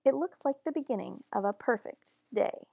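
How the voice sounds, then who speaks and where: A woman reading a children's picture book aloud in a deliberate, expressive storytelling manner. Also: fairly high pitch, vocal fry, dry recording. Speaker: storybook reader.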